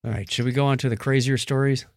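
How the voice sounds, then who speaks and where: A man's voice: medium pitch, steady tone, fast, no room sound, clean studio sound. A man hosting a casual podcast, retelling odd news stories in a wry, bantering way. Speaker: podcast host.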